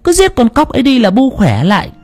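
A man voicing a small village girl who speaks calmly and strangely, in a raised voice. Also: extremely high pitch, a little fast, faint music behind, voice pushed up, heavily acted. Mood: eerie calm. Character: eerie little village girl, calm and knowing.

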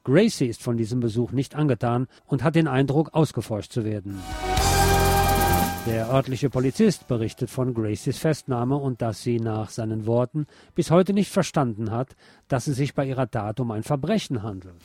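A man's voice: medium pitch, normal speed, over music. A man narrating a film review as the host.

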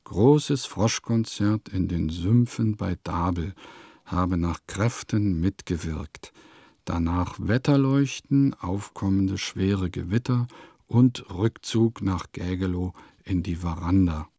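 An actor playing an eccentric village composer, declaiming lists, notes and diary entries in a scripted radio play. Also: male voice, low-pitched, slow pace, mellow, husky, no room sound, clean studio sound, heavily acted. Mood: matter-of-fact.

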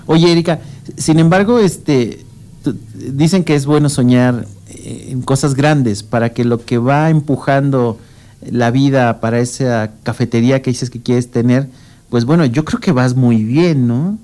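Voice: medium pitch, measured pace, warm tone. A radio host interviewing a guest, asking long, encouraging, rambling questions.

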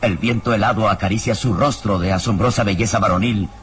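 A man's voice: lowish voice, brisk, slight background noise. A man narrating a radio serial in a theatrical, suspenseful, scripted style. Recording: radio drama with music underneath.

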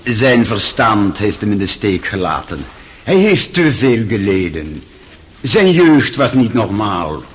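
An actor playing a kindly, forgetful old German doctor giving halting, sentimental testimony in a radio drama. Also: male voice, lowish voice, very animated, husky, full character voice. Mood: wistful, amused. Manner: halting, searching for words, sentimental.

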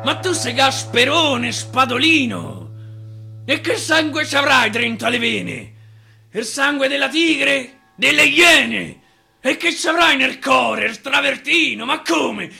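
A man voicing an outraged male explorer betrayed by his king, hurling indignant shouted accusations. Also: very high-pitched, takes it slowly, vocal fry, pitched above the performer's own voice, heavily acted. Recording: guitar playing behind.

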